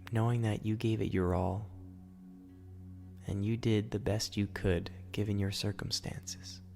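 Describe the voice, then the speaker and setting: A man leading a guided sleep meditation as host, in a soothing, gentle, instructional manner. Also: fairly low pitch, little pitch movement, unhurried, creaky, soft background music.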